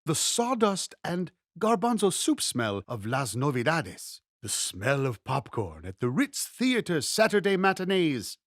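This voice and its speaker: A man reading a memoir aloud as a scripted narration, savoring short descriptive phrases with deliberate, expressive delivery. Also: fairly high pitch, no echo, clean studio sound. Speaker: audiobook reader.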